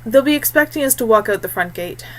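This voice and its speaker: A woman's voice: fairly high pitch, animated, fast, slight background noise, voice pushed up, lightly acted. A woman voicing a resolute, protective young man, heir to a fort, firm and blunt, in an audiobook. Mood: firm, flat.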